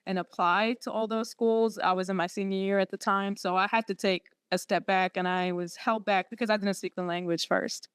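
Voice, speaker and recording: A woman in her late twenties, from Syria, speaks. Her voice is smooth and resonant, recorded close and dry. She tells her story earnestly, stopping often to restart her sentences. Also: mid-range voice, steady tone, dry recording. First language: Arabic. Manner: earnest, halting with many fillers and restarts. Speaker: podiatry student guest. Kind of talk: personal story in interview.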